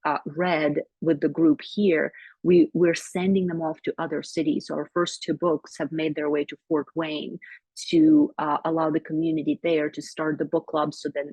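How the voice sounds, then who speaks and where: A woman from Ukraine with a Ukrainian accent, speaking earnestly as a panelist in a webinar, pausing often on fillers. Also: low voice, flat delivery, a little mellow, breathy edge, dry recording. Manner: earnest, measured, frequent fillers. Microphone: video call. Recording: dull lo-fi video call audio.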